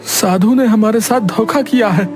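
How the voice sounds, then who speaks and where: A man playing a greedy, excitable village man in a cartoon story, exclamatory and melodramatic. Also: high-pitched, normal speed, soft background music, lightly acted. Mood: remorseful, upset. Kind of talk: dramatic Hindi cartoon story voice acting.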